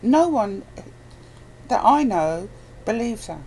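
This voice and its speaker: A woman's voice: fairly high pitch, very animated, slow pace, dark tone, rough recording. Blunt, emphatic woman over background noise. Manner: emphatic, blunt, repeats phrases. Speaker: interview guest. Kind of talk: casual opinionated chat. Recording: clear background noise, ambient sound.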